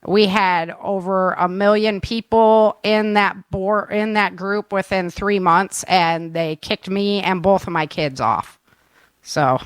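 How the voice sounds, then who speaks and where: A woman's voice: medium pitch, steady tone, slow, clean tone, no room sound. A woman hosting a show, chatting casually and informally. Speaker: show host and author. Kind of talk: casual chat.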